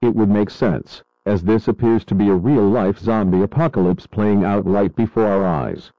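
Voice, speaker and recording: A man's voice: on the low side, fairly even intonation, moderate pace, no echo, muffled recording, natural voice. A man playing a grave radio news anchor, giving scripted breaking coverage of a disaster in a serious, explanatory manner. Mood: grave concern.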